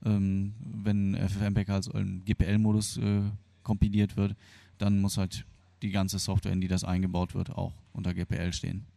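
A man's voice: low voice, completely flat delivery, normal speed, creaky, warm tone, no echo. A man who grew up in Germany, explaining things in a colloquial, hesitant way as an interviewee. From born Argentine, grew up in Germany. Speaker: interviewee, free software developer. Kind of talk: spontaneous interview answers, explanatory.

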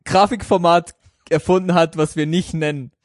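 Dude co-hosting a podcast, highish voice, just chatting, no room echo at all. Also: male voice, steady tone, moderate pace, clean studio sound. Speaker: podcast co-host. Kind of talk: casual chat.

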